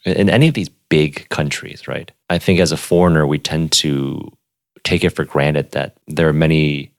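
A man who hosts a relaxed interview, affirming often and asking open questions. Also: extremely low pitch, normal speed, no echo, studio quality. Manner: relaxed, affirming, with frequent backchannels. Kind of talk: casual conversational interview.

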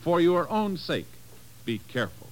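A man's voice: fairly high pitch, very animated, measured pace, low-quality recording. A man announcing in a formal, emphatic, scripted 1950s radio style.

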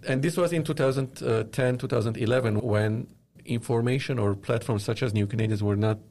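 A man from Lebanon with a Lebanese accent, giving measured, earnest explanations as an interview guest. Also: mid-range voice, darkish tone. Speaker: interviewed media company founder.

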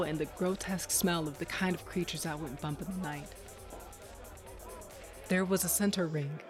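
Low-voiced, bitter woman monster hunter played by an actress, music behind. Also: close to an everyday voice. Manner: intense, confessional, profane. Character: hardened, self-loathing woman monster hunter, bitter and profane. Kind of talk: first-person audio drama narration with acted dialogue.

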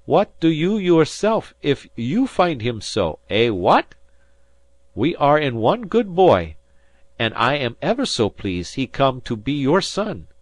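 An earnest, humble fisherman, voiced by a man, speaking in broken phrases pitched well above the narrator's own voice. The sound is dry and close. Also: big pitch swings, takes it slowly, no echo.

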